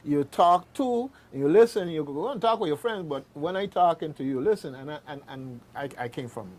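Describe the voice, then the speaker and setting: A man with a slightly high voice speaks in a casual panel discussion. He is emphatic, often repeating a phrase and checking that he is followed. There is faint noise behind him. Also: expressive, moderate pace, darkish tone.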